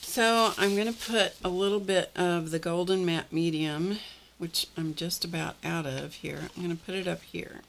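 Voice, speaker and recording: A middle-aged woman with a slightly croaky voice, hosting a live art journaling session and chatting casually while she works with her hands. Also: lowish voice, fairly even intonation, moderate pace, slight background noise, rough recording. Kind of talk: live craft demonstration.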